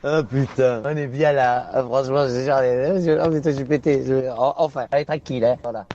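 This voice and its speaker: A man's voice: medium pitch, fairly even intonation, normal speed, mellow. A man on a CB radio channel, taunting another user and laughing at his own jokes. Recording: dull lo-fi CB radio with hiss.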